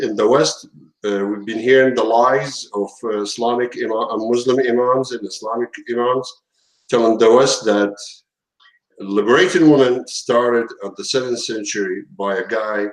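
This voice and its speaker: A man hosting an online talk show, speaking haltingly with many fillers and restarts. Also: lowish voice, reverberant, poor audio. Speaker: host.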